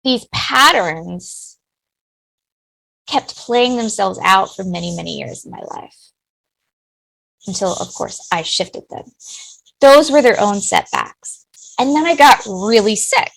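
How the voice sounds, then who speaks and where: A woman's voice: medium pitch, very animated, takes it slowly, creaky, pauses often, low-quality recording. A middle-aged woman, a business owner, giving personal, talkative answers in an interview. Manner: personal, talkative, warm-hearted.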